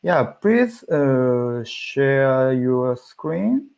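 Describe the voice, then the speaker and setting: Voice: mid-range voice, very animated, drawn-out words, vocal fry, darkish tone, clean tone. A conference host speaking hesitantly in short phrases while informally introducing a session online.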